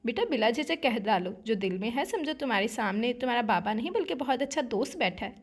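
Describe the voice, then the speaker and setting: A woman voicing an affectionate older father who speaks gently and reassuringly in an audiobook. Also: on the high side, lively intonation. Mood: fond. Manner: gentle, fond.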